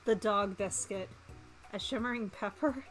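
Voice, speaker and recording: A woman streamer chatting casually and reading game text aloud, hesitant and thinking out loud. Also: mid-range voice, a little slow, soft background music. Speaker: streamer playing a visual novel for viewers.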